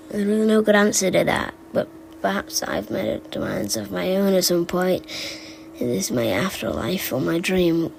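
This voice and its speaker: A weary game spirit, acted by a woman muses on things with hesitant resignation. The voice is full of gravelly fry, at a middling pitch. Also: a little mellow, a little hiss or hum, rough recording. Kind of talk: fan impressions of game voice lines.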